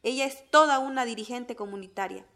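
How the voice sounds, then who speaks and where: A woman's voice: a little high, brisk, sharp tone. A woman with a Honduran accent playing an earnest activist who presents testimonies in a radio drama. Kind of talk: scripted radio drama dialogue. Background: music.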